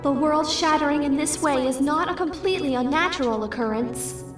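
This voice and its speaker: Female cartoon oracle played by a woman: high, solemn, measured, formal, sounding far off with music behind. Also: lightly acted. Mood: grave. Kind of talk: cartoon fan dub acting. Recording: distant echoing voice under music.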